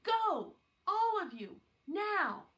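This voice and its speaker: A woman's voice: extremely high pitch, very animated, drawn-out words, a bit bright, voice pushed up, full character voice. A woman voicing an urgent, commanding male schoolteacher from a children's novel read aloud. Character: urgent male schoolteacher ordering boys to flee.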